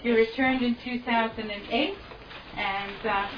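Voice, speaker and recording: Casting: woman host, chatty and informal, a bit high-pitched, dull lo-fi sound with faint background hum. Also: steady tone, a little slow. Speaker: event host. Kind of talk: introducing speakers at a gathering.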